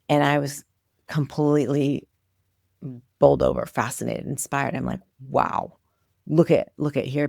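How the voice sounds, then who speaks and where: A woman in her forties talks as an interview guest, spinning long stories full of "like" and "you know". Her voice has a gravelly, creaky edge. The sound is dry and close, with no room around it. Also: sits low, no room sound. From Iran. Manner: animated storyteller, many "like" and "you know" fillers. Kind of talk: casual interview storytelling.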